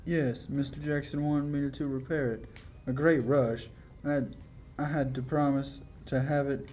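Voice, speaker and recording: A young male amateur actor playing a nervous, evasive old clock repairman in a halting, polite manner, in 1940s radio play style. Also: medium pitch, dark tone, a little hiss or hum, natural voice. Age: young adult. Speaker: amateur high school actor.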